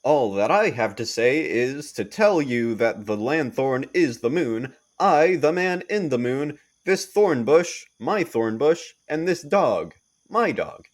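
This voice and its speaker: A man's voice: medium pitch, very animated, takes it slowly. A man playing an exasperated simple workman acting as the Man in the Moon in a Shakespearean audio play, plodding and insistent.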